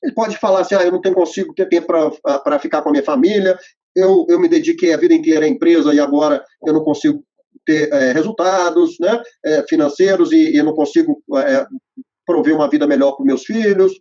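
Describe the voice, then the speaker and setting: A man's voice: on the high side, quick pace, mellow. A man presenting a step-by-step method to accountants in an explanatory, instructional way, with frequent fillers and restarts. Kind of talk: instructional conversation. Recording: muffled lo-fi audio.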